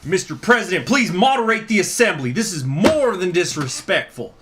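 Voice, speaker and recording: Man putting on an indignant high-pitched delegate, foreign accent. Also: expressive, normal speed, noisy background. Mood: indignant, emphatic. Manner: declamatory, emphatic, formal. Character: indignant male political delegate making a formal protest.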